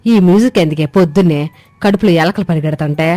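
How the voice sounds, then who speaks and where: A woman's voice: lowish voice, fast, dark tone, dry recording, natural voice. Woman doing a bossy old village mother-in-law, scolding, earthy talk, cartoon story, music behind, dry sound. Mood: scolding, grumbling.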